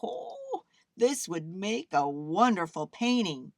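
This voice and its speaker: Woman playing an expressive, musing young male artist. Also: on the low side, unhurried, dry recording, clean studio sound, exaggerated voice. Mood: inspired. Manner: musing, with hums and exclamations. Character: young male artist, thoughtful, kindly and easily inspired.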